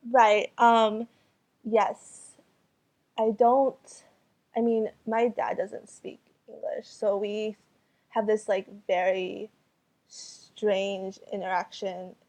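A woman's voice: mid-range voice, level tone, drawn-out words, a little mellow. A young woman artist whose first language was Cantonese answers interview questions hesitantly, with many fillers and restarts. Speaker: artist and photographer.

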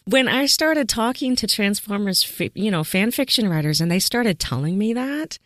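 A woman guest in a casual podcast chat, animated and exclamatory, laughing often. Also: mid-range voice, moderate pace, dry recording, studio quality.

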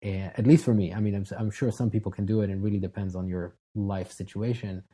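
A man in his thirties from Israel, a guest telling his story in a relaxed, casual way with frequent restarts. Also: fairly low pitch, fast, husky. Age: 30s. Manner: relaxed, frequent restarts and fillers, self-deprecating. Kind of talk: casual interview talk.

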